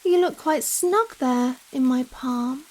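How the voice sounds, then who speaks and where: A giant vampire woman, played by a female ASMR actor, speaks in a high, lingering voice with rain falling around her. She is coy and coddling, gentle yet possessive, toying with someone tiny in a slow, intimate roleplay. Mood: amused, teasing. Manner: slow, coy, coddling talk with pet names. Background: steady rain.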